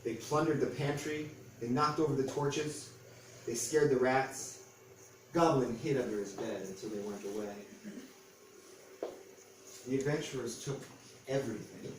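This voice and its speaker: A man's voice: mid-range voice, measured pace, pauses often. A man reading a picture book aloud to an audience as its author, in storytelling style. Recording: echoey room, distant mic, faint noise.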